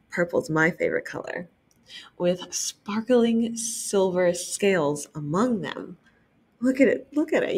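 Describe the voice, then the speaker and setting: A young woman librarian reading a picture book aloud for children, enthusiastic and gently expressive, stopping to remark on the pictures. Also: medium pitch, breathy edge. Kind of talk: storytime read-aloud.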